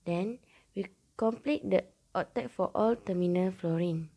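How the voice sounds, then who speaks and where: A woman's voice: medium pitch, a little slow, mellow. A young woman, a student presenter, carefully reading out a scripted step-by-step tutorial. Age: young adult.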